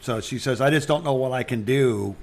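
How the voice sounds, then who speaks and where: A man's voice: medium pitch, brisk. A man in his sixties chatting casually as a podcast co-host, telling anecdotes in a rambling way with repeated phrases and restarts. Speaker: podcast co-host, retired radio broadcaster.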